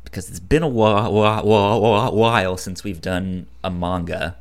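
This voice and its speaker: A man's voice: on the low side, unhurried. A man chatting casually on a podcast, with a frequent stutter that repeats syllables and catches on words. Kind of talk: casual podcast chat, giving recommendations.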